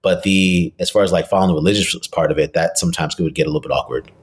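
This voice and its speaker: A middle-aged man from New York and New Jersey speaks in a low voice. He talks informally and conversationally, as a guest being interviewed on a podcast. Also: steady tone, very fast, slightly rough. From New York City and New Jersey, US. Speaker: podcast guest, real estate investor.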